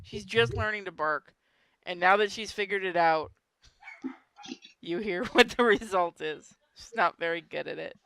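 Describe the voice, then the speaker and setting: A woman's voice: medium pitch, normal speed, a bit bright, smooth, no echo. A woman streamer chatting casually with friends, teasing and blunt, with plenty of swearing. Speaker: streamer on a group live stream. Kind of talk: casual chat.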